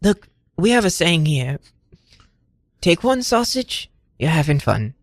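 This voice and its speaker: A woman plays a loyal, muddled shepherd sidekick in an improvised comedy. Her voice sits a little low but swings widely in pitch. The delivery is eager and rambling, in a close, dry sound. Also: sing-song, a little slow, no echo, heavily acted. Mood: muddled, explaining.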